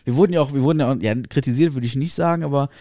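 A man co-hosts a loose podcast chat, rambling through stories in slangy, animated talk and laughing often. His voice sits in an average pitch range. The sound is dry and close, with no room around it. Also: very fast, dry recording. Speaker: podcast co-host. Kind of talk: casual chat.

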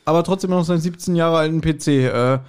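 A man's voice: on the high side, fast, darkish tone, clear, resonant tone. A man chatting casually as a podcast co-host, wry and teasing. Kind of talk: casual chat.

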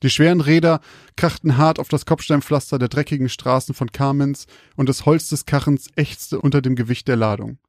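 Creaky-voiced man, close and dry. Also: medium pitch, steady tone, a little fast, dry recording. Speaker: podcast host and storyteller. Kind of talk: casual banter and scripted horror storytelling.

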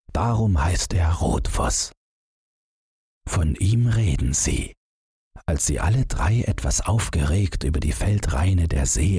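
Very husky, deep-voiced male storyteller. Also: darkish tone, no room sound, studio quality. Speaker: audiobook narrator. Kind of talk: scripted storytelling narration.

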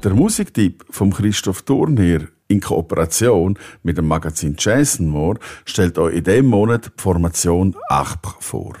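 A man's voice: sits low, very animated, moderate pace, hardly any pauses. A man reading scripted narration as a podcast presenter, in the style of a music review.

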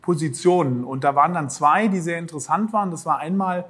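Husky-voiced man chatting as a guest. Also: fairly high pitch, quick pace. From northern Germany. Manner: relaxed, rambling, many fillers. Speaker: podcast guest, founder. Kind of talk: conversational interview answers.

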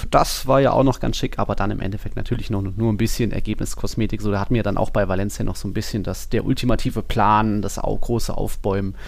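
Gravelly-voiced man casually talking football. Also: fairly low pitch, fairly even intonation, quick pace, hardly any pauses. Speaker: football podcast co-host.

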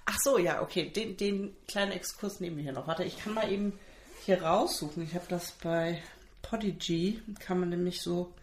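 A woman co-hosts a casual podcast with a nasal, stuffed-up voice from a cold, pitched a little low. She talks in a chatty, teasing way and often cuts in. Her voice sounds roomy, with a clear echo. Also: normal speed, a little hiss or hum. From Germany. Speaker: podcast co-host. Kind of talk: casual chat.

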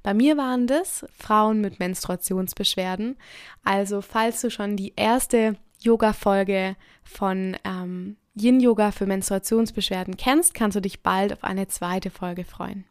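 A woman's voice: mid-range voice, a little slow, clear, resonant tone, no room sound, clean studio sound. A young woman hosting a solo podcast in her native German, speaking earnestly and reflectively with frequent "ähm" fillers. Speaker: podcast host. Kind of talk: casual solo podcast monologue.

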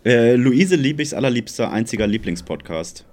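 A man's voice: mid-range voice, expressive, moderate pace, a little mellow. A man with a German accent bantering casually as a podcast co-host, in colloquial, slangy language.

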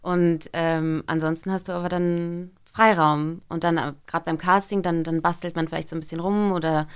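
A woman's voice: on the low side, steady tone, moderate pace, smooth. A woman voice actress talking casually as a podcast guest, chatty and full of small fillers. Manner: chatty, many fillers and restarts. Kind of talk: casual interview chat.

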